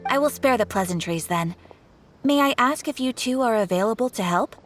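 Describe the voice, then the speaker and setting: A woman's voice: fairly high pitch, lively intonation, soft background music, close to an everyday voice. Woman playing a formal, courteous guild receptionist. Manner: formal, courteous, measured briefing. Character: polite, composed female guild receptionist.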